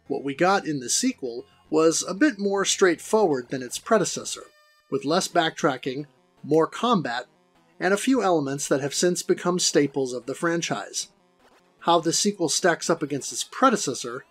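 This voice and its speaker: A man's voice: a little high, animated, moderate pace, soft background music. A middle-aged man hosting a video game review, narrating from a script in a wordy, theatrically formal way.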